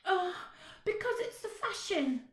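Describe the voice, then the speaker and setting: A woman doing a bossy chatty little girl. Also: measured pace. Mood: bossy chatter. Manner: high, excited, know-it-all. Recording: roomy echo.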